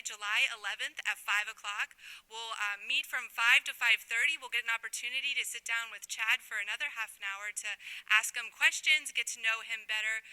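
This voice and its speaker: Female interview host, strikingly bright tone, slightly high pitch, conversational and appreciative. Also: clear, resonant tone, poor audio. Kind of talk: conversational hosting.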